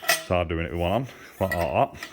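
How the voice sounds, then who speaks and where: A man's voice: low-pitched, animated, brisk, heavy background noise. A man giving a casual, hands-on workshop demonstration, explaining informally step by step and repeating himself. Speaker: motorcycle exhaust maker and mechanic. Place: motorcycle workshop. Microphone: handheld camera held by the speaker.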